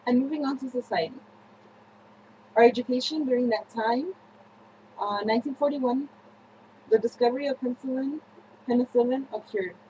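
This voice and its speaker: A young woman presenting a scripted student newscast, reading haltingly with slips and self-corrections. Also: high-pitched, warm tone, slight background noise, low-quality recording. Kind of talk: scripted news-style narration for a history project.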